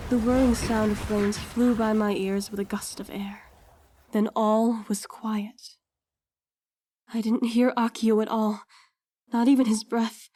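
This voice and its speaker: A woman's voice: on the high side, warm tone, close to an everyday voice. A woman playing a young gifted heroine in an audio drama, earnest and a little unsure, telling her story in the first person. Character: hesitant young gifted woman, apologetic, narrating her own fight.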